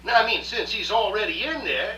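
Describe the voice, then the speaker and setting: Woman acting bright, wisecracking streetwise genie. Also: mid-range voice, animated, fairly quick. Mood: flippant. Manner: slangy, breezy, wisecracking. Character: wisecracking, streetwise, casual genie bound by rules. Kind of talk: live radio drama acting. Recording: roomy, distant mic, hum and hiss.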